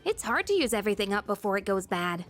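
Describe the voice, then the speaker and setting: A woman's voice: mid-range voice, very animated, fast talker, a bit bright, soft background music. A woman voicing a sassy, practical teenage girl in a game, casual and blunt. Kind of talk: game voice acting.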